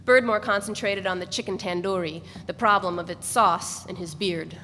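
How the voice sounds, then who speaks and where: A woman's voice: mid-range voice, fairly even intonation, moderate pace, pushed and bright. Woman reading a novel aloud. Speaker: reader. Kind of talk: first-person literary narration read aloud. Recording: echoey room with faint noise.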